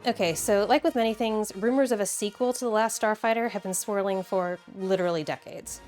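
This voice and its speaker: Expressive woman over background music. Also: on the low side. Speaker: video host. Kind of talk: casual video commentary with game play reactions.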